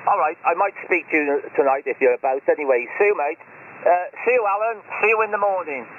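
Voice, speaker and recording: A man's voice: a little high, fast talker. A man chatting casually over amateur radio in a rambling, hesitant way. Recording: tinny ham radio signal with hiss. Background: radio hiss.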